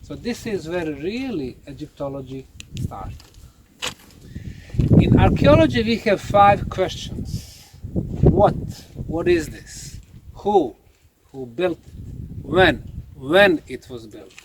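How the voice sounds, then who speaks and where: A man lecturing on location as a documentary presenter, speaking emphatically and deliberately. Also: fairly high pitch, sing-song, noisy background. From Bosnia. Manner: emphatic, deliberate, asks rhetorical questions.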